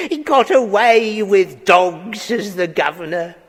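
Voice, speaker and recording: Very slow, dim henchman. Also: sits high, heavily acted. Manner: slow, gloating, uneducated speech. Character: slow-witted, gloating bald henchman of a dog thief. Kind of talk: children's audio drama acting. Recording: echoey hall reverb.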